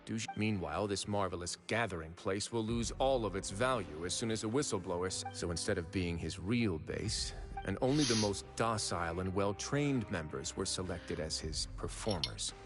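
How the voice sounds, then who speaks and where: A man voicing a composed noble fortress warden who speaks in a calm, measured, authoritative way, in game audio with music behind. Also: sits low, natural voice.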